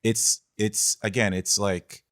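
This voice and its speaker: A man's voice: lowish voice, level tone, slow, a bit bright. A man hosting a casual podcast discussion about films, speaking in a conversational way. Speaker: podcast host.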